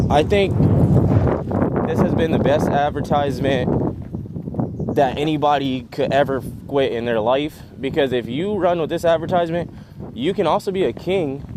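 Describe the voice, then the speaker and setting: A man's voice: on the high side, moderate pace, very noisy. A man, rambling restlessly in a vlog while out in a residential neighborhood, often trailing off. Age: 20s. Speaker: vlogger.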